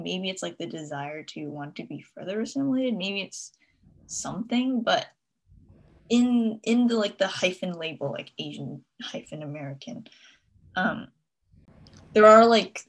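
A woman's voice: fairly low pitch, low-quality recording. A young woman co-hosting a casual podcast, reflective and hesitant, thinking aloud with many fillers. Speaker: podcast co-host.

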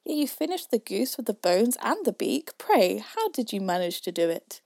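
A woman voicing a cheeky, inquisitive young man in storybook verse, reciting with measured emphasis. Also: on the high side, very animated, gravelly, a little mellow, no echo, clean studio sound.

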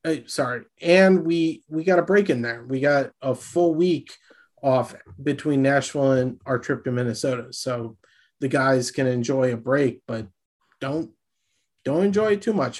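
A man's voice: mid-range voice, unhurried. A man co-hosting a sports podcast, talking in an earnest, rallying way about the coming matches. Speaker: podcast co-host. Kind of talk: casual sports chat.

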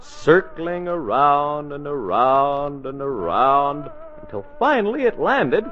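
A male announcer narrates a 1940s radio comedy with theatrical, wry flair. His voice is high and very lively in pitch. The old broadcast sounds muffled. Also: slow, faint music behind.

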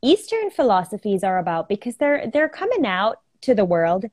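A woman's voice: medium pitch, big pitch swings, moderate pace, darkish tone, no room sound, studio quality. A woman in casual personal conversation, talking informally and thinking aloud as she goes. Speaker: astrology enthusiast in conversation.

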